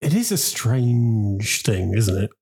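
A young man co-hosting a casual podcast, easygoing and quick to laugh, with goofy asides. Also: fairly low pitch, sing-song, darkish tone. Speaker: podcast co-host. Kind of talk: casual chat.

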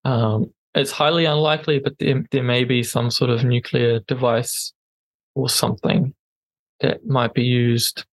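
A man's voice: medium pitch, flat delivery, unhurried, no room sound, rough recording. Hesitant man, dry close sound. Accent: non-native English accent. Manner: hesitant, many ums and restarts. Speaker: podcast co-host. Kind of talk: casual news chat.

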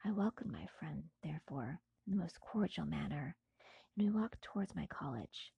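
A woman reading a classic novel as an audiobook in a breathy, husky, often whispery voice, with hushed, theatrical and deliberate phrasing. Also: sits low, expressive, dry recording, narrow, tinny audio. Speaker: audiobook narrator. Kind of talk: dramatic scripted narration of a classic novel.

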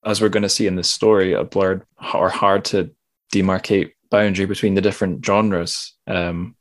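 A man's voice: low voice, little pitch movement, moderate pace. A man hosting a casual interview, speaking tentatively and searching for his words. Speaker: podcast host.

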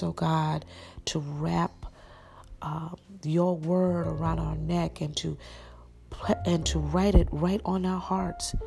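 A woman with a raspy voice, preaching an encouraging sermon and praying with an earnest, repetitive cadence. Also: low voice, dark tone. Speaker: podcast minister. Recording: music playing behind the voice.

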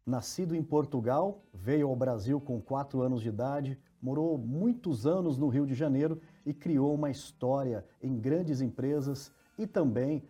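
Interview host, male, Brazilian accent, low-brightness relaxed tone with a husky edge. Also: unhurried, faint music behind. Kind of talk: conversational interview.

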